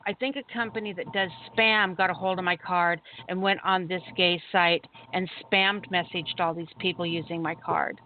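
A chatty woman tells a story over a call-in line, her voice thin and phone-like with a faint hiss behind it. She talks in an animated, casual way and laughs easily. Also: fairly low pitch, normal speed, few pauses. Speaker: caller sharing a story.

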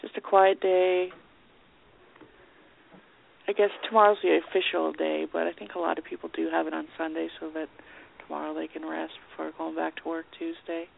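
A woman's voice: sits low, flat delivery, moderate pace, vocal fry, a little hiss or hum. A middle-aged woman chatting casually as a radio show co-host, over a narrow phone-like line. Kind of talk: casual chat.

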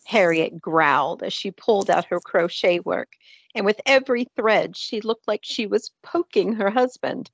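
A woman's voice: mid-range voice, moderate pace, breathy edge, no echo. A woman reading scripted narration for a radio play.

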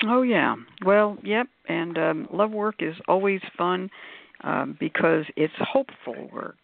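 A woman's voice: on the low side, a little slow, gravelly, dry recording. A woman hosting a talk show, chatting and explaining to listeners in a conversational way with playful asides. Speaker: radio show host. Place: live call-in audio show. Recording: narrow phone-like line.

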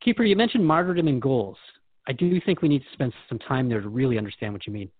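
A man's voice: mid-range voice, lively intonation, fast talker, husky, no room sound, phone-quality sound. A man hosting a conversational interview, asking questions in a wry, candid way. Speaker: host and questioner.

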